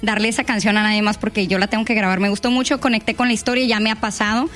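A woman's voice: mid-range voice, steady tone, rapid-fire, a bit bright, soft background music. A woman singer from Mexico, chatting casually and telling stories as a guest on a radio show. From Mexico, Nuevo León. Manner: chatty, laughs easily, slangy. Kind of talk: casual radio interview chat.

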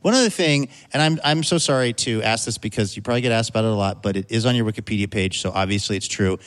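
A man's voice: on the low side, fast, poor audio. A man hosting a music show, introducing a guest and asking long, rambling, friendly questions. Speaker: radio show host. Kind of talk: interview hosting.

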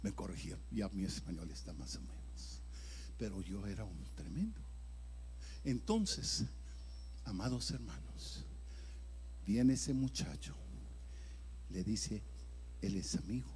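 Older guy preaching his testimony, goes big and loud then drops to a whisper, faint hum behind. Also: on the low side, animated, takes it slowly, long pauses, low-quality recording. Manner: dramatic storytelling, swinging from loud emphatic bursts to hushed near-whispers. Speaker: evangelist preacher. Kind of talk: sermon testimony.

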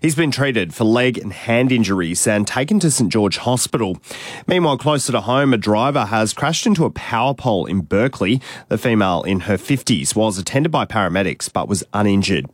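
A man reading a radio news bulletin in a measured, even way. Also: fairly low pitch, dry recording, studio quality. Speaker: newsreader.

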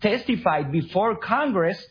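A husky, fairly high male voice. He is a Spanish speaker telling his story as an interview guest, heard through dull radio sound. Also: animated, measured pace. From Venezuela. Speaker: talk show guest.

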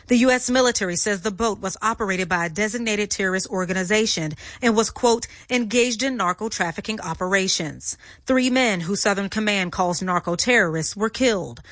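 Steady female news correspondent. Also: medium pitch, dry recording. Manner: steady, factual reporting. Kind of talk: scripted news report.

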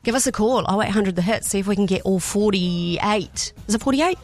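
A woman's voice: medium pitch, brisk, a little mellow, soft background music. A woman co-hosting breakfast radio, bubbly and laughing often. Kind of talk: casual radio chat.

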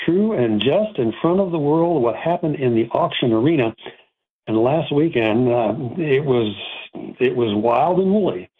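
A man's voice: mid-range voice, lively intonation, moderate pace, slightly rough, narrow, tinny audio. A man from Rochester, New York, hosting an antiques radio show in a chatty, rambling way, often correcting himself mid-sentence. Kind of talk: casual call-in radio talk.

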